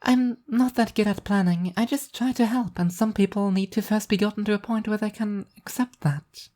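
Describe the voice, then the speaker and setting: A woman's voice: medium pitch, fairly even intonation, moderate pace, dark tone, pitched above the performer's own voice, natural voice. A woman voicing a dignified, benevolent, self-important female angel of justice, grandly declarative yet conversational, in a story reading. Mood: reassuring.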